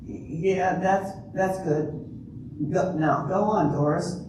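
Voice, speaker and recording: An older woman in an amateur readers' theater playing a patient, probing lawyer who questions a client in a measured, halting way. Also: on the low side, dark tone. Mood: prompting. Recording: distant, very echoey, noisy room.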